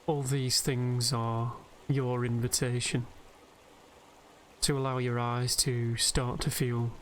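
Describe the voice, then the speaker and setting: A man gives soothing, unhurried, gentle guided sleep meditation commentary over a game. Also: medium pitch. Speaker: host and narrator of a game meditation video. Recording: steady background noise, game nature ambience. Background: game ambience of river and birdsong.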